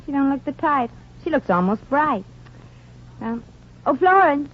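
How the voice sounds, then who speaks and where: A woman's voice: high-pitched, very animated, unhurried. A woman playing a brash, wry, streetwise young woman with a big heart in a 1940s radio comedy, talking in bossy slang. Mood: brisk, wry. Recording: muffled, noisy 1940s radio recording.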